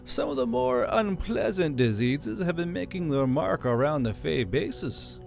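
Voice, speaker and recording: A man voicing a kindly, chatty older male elf governor with a cheerful, gently teasing manner. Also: a little high, animated, measured pace, gravelly, soft background music, pitched above the performer's own voice. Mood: grim.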